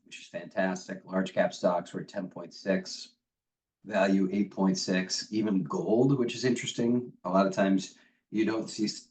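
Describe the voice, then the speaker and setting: A man with a cold speaks in a very deep voice, a little off the mic. He presents a webinar macro update and reads the figures off his slides. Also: a little mellow, far from the mic. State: has a cold, voice deeper than usual. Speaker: financial analyst giving a macro update.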